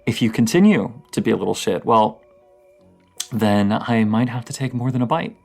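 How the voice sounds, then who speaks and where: A man playing a dorky, playful partner in an intimate audio roleplay, rambling, giggly and teasing, with a slightly creaky voice. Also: fairly low pitch, lively intonation, normal speed, a little mellow, soft background music. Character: dorky, playful young romantic partner, horror film nerd.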